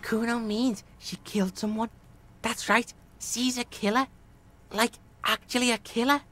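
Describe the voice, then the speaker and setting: A woman's voice: fairly high pitch, fairly even intonation, measured pace, a bit bright. A woman voicing a foul-mouthed, cocky young street boy in a game, brash and sneering. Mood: boastful, grim. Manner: brash, sneering, speaks of self in third person. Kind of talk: video game character acting.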